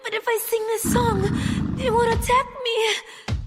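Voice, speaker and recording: An actress playing a gentle, frightened young woman in a video game, fragile and earnest, over music. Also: very high-pitched, level tone, a little slow, very noisy. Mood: strained, tearful. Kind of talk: video game cutscene acting. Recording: music behind the voice.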